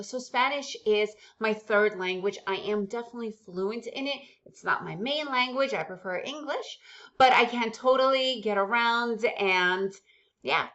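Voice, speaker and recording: A woman's voice: on the high side, a bit bright, highs cut off. A woman who grew up in the United States after a childhood in the Soviet Union, whose first language is Russian, hosting a casual, upbeat vlog and chatting straight to her viewers. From Soviet Union, raised in the United States. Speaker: day-trading channel host. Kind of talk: casual direct-to-camera vlog.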